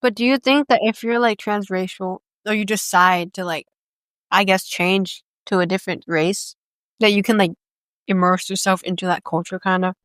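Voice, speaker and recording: Giggly rambling woman, smooth clear voice. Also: mid-range voice, fairly even intonation, normal speed, fairly bright tone, dry recording. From United States. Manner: rambling, giggly, heavy use of "like". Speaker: podcast host. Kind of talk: casual chat.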